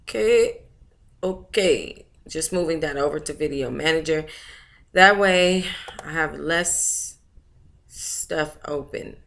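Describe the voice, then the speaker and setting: A woman's voice: low-pitched, slow pace, creaky, a bit bright. An American woman hosting a book club livestream, talking in a casual, chatty, slangy way with familiar endearments.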